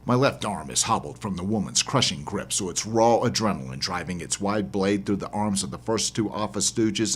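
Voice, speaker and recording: A man reading his own horror novel as dramatic first-person narration, husky and intense in delivery. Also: sits low, a bit bright. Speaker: author reading own audiobook.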